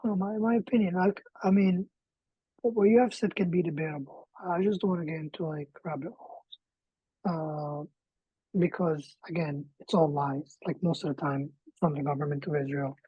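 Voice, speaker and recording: A woman from Egypt, a guest, arguing her point in a measured, hedging way. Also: sits low, fairly even intonation, slightly rough, no echo, lo-fi sound. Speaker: interview guest. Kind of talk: casual debate.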